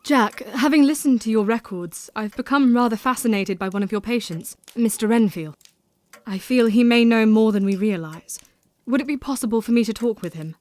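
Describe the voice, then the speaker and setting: A woman's voice: fairly high pitch, moderate pace, a little mellow, dry recording, natural voice. A woman acting in an audio drama as a resolute, grieving young Victorian woman who speaks earnestly and formally. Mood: determined.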